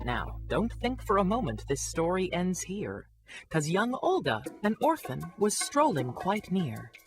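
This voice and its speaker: A woman narrating a rhyming children's story with an expressive, scripted delivery. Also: lowish voice, measured pace, soft background music.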